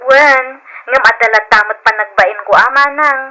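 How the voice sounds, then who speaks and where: A woman's voice: on the high side, moderate pace, sharp tone, poor audio. A woman playing a dutiful, considerate young provincial sister in an old Philippine radio drama. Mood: earnest protesting.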